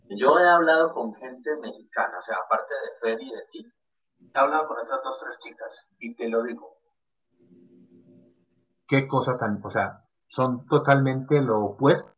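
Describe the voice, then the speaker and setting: Colombian male, late 30s, average pitch, emphatic casual conversationalist, slightly muffled audio. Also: measured pace, long pauses. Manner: chatty, emphatic, many discourse tags. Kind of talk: casual chat.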